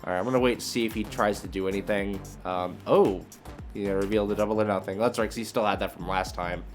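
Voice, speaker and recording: A man with a fairly low voice gives casual commentary on a game he is playing. He thinks out loud, hesitant and muttering as he works through his options. Music plays softly behind him. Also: fairly even intonation, fast talker. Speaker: video creator and card game player.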